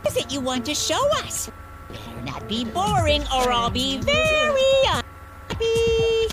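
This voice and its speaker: A woman's voice: high-pitched, wildly swooping pitch, measured pace, over music, exaggerated voice. A woman voicing a gleeful, bossy robotic bear mascot in a video game, theatrical and taunting. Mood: petulant. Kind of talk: cartoonish video game voice acting.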